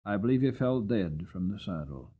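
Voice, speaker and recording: A man voicing an old war horse in a storybook, mournful and proud, with solemn, measured, emotive delivery. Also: lowish voice, animated, slightly rough, phone-quality sound, voice pushed down. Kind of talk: dramatic audiobook reading.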